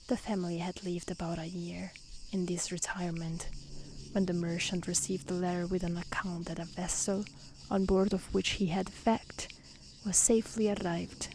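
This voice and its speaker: A woman softly reading a fairy tale aloud in a gentle, hushed ASMR style that often slips toward a whisper. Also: lowish voice, level tone, normal speed, rough recording. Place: outdoors in nature. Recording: faint outdoor hiss with crickets and insects.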